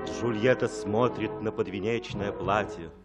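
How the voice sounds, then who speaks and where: A man's voice: lowish voice, a little slow. A man narrating a radio play in a scripted storytelling style. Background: music.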